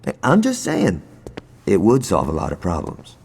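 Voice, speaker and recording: Brash gangster, hot-headed, sarcastic, lively swinging pitch, echoing hall, game cutscene. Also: male voice, moderate pace, gravelly, breathy edge, full character voice. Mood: sardonic, eager. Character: hot-headed, foul-mouthed, trigger-happy young gangster. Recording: long echoing hall reverb.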